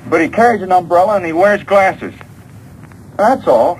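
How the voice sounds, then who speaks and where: An American actor playing a nervous, weaselly small-time stooge who stammers and pleads in an old-time radio drama. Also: male voice, high-pitched, animated, moderate pace. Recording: noisy old radio show taped off air.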